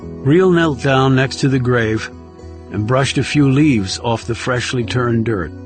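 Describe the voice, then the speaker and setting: A man's voice: medium pitch, slow, faint music behind. A man reading scripted thriller narration as an audiobook narrator.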